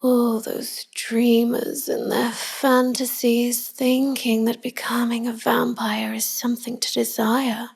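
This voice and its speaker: A woman acts out a devoted vampire wife murmuring to her human lover at night in a roleplay audio. Her voice is soft and breathy, often dropping into a whisper, with a creaky edge. She speaks slowly and confidingly, tender and full of melancholy. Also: fairly high pitch, heavily acted. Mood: wistful, weary. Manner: slow, hushed, confiding. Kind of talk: intimate whispered pillow-talk roleplay audio.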